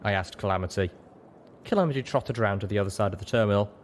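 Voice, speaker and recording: A man's voice: lowish voice, racing. A man reading scripted first-person story narration as an audiobook narrator.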